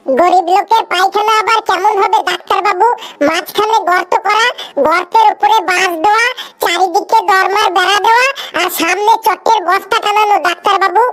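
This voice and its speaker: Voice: very high voice, steady tone, a little fast, a bit bright. A performer voicing a cheeky, literal-minded, childlike cartoon patient with an earnest way of talking. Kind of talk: comedy cartoon skit dialogue.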